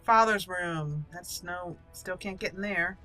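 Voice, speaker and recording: A woman with a very bright, crisp-edged voice narrates a video game casually. She thinks aloud in a playful way and slips into character, with faint music behind her. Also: on the low side, a little slow, poor audio. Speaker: gaming channel host, role-player. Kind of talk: casual let's play commentary with in-character lines.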